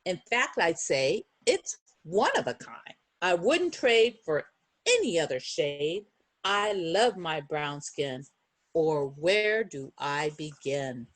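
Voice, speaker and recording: Animated woman reading aloud to children. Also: low voice, slow, dry recording. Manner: expressive, encouraging, asks the listeners questions. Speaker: children's storybook reader. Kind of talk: read-aloud to young children with chatty asides.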